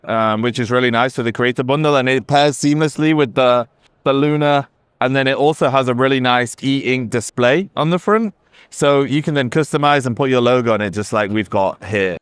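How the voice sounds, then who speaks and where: A man's voice: mid-range voice, normal speed, smooth, no echo, clean studio sound. A man representing a company, giving an enthusiastic, casual product demo on a busy trade show floor. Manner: enthusiastic, conversational, with fillers. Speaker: company representative demoing a camera.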